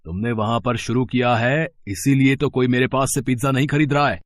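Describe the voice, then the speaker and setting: Rude, blustering male vendor, cartoon villain man performer: medium pitch, scolding delivery, dry recording. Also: fairly even intonation, fairly quick, no echo, lightly acted. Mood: threatening. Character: rude, threatening, jealous pizza vendor man. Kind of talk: Hindi moral cartoon story acting.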